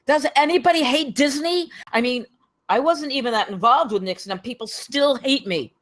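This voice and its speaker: A woman's voice: high-pitched, moderate pace. A woman at a script table read, playing an earnest, somewhat weary and defensive former government man.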